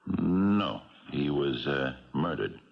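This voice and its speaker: An old retired American cop with an extremely deep, gravelly voice. He tells his story haltingly, wistful and haunted, in the manner of a 1970s radio mystery. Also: lively intonation, exaggerated voice. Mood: wry, hesitant. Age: older. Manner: halting, hesitant, colloquial. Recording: dull 1970s radio broadcast, music behind.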